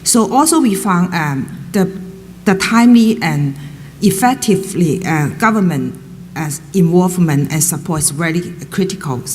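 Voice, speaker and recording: A woman's voice: fairly low pitch, lively intonation, moderate pace, darkish tone. A woman professor on a panel, speaking tentatively and with plenty of fillers into a panel microphone at a public event. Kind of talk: panel discussion answer. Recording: roomy hall reverb, faint noise.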